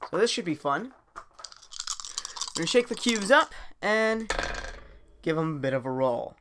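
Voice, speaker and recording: Slow-paced man improvising a story. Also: high voice, sing-song, noisy background. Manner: halting, thinking aloud. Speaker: storyteller, host.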